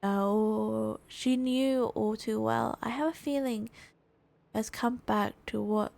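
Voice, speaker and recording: A woman gaming streamer giving casual reaction commentary, haltingly thinking aloud as she goes. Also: a little high, steady tone, creaky, a little mellow.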